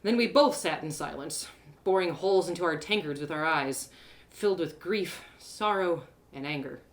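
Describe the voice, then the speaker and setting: A woman's voice: low-pitched, moderate pace. A woman narrating a first-person audio story in a dramatic storytelling style.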